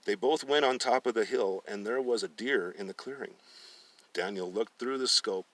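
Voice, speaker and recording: A man's voice: on the low side, moderate pace, a bit bright, far from the mic. A man narrating fiction aloud as a storyteller, often stumbling and rereading phrases. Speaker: storyteller reading a book aloud.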